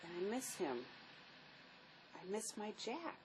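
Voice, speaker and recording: A woman's voice: mid-range voice, animated, slow. A woman acting a weary, grieving divorced mother in a drama, world-weary and subdued. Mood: grieving, bitter. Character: grieving, weary divorced mother who lost her young son. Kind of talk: stage-style dramatic play acting. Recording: noisy amateur recording.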